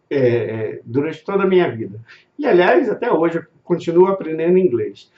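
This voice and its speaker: A man's voice: on the high side, a little mellow. A man in his thirties, an English teacher explaining his teaching method in a thoughtful, casual talk with frequent hesitations. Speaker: language teacher and method founder. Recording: echoey room, slightly muffled audio.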